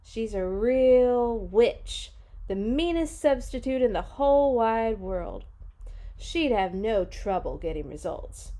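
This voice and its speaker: A woman voicing excitable, earnest and cheeky schoolchildren in a storybook, with loud, emphatic delivery. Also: fairly high pitch, takes it slowly. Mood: earnest, excited.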